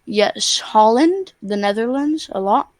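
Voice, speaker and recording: A woman's voice: on the high side, lively intonation, slow pace, dry recording. A young girl of about twelve, from Spain, chatting casually on a video call and filling her talk with "like" and restarts. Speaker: stranger on a video chat.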